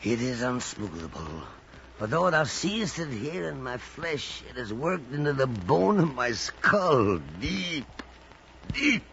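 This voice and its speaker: Gravelly, brooding, half-mad sea captain. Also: male voice, medium pitch, sing-song, fairly bright tone, heavily acted. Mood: brooding, tormented. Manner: halting, archaic speech with intense outbursts. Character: brooding, half-mad old whaling ship captain, commanding. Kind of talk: old-time radio drama acting. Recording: dull, noisy vintage radio recording.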